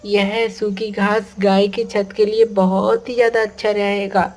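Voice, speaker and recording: A woman's voice: mid-range voice, flat delivery, a little slow, darkish tone, lightly acted. A woman voicing an earnest young village boy eager to help his mother, in a Hindi cartoon. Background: background music.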